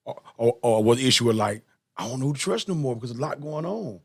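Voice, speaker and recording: Podcast host: man, average pitch, loose colloquial phrasing, circles back over his words. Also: expressive, fast, a little mellow, breathy edge. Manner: informal, colloquial, repeats himself. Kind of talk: casual chat interview.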